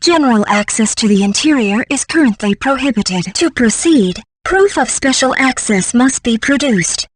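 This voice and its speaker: A woman plays an automated guardian system in a video game. She delivers formal, impersonal warnings in a fairly high voice. Faint noise sits behind her. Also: animated, normal speed, hardly any pauses, lightly acted. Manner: formal announcement.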